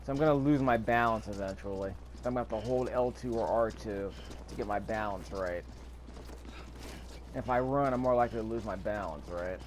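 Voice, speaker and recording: A man's voice: fairly low pitch, a little fast, a little hiss or hum. A man giving casual gameplay commentary, thinking aloud with mild grumbling and rhetorical questions. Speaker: video game player narrating a playthrough.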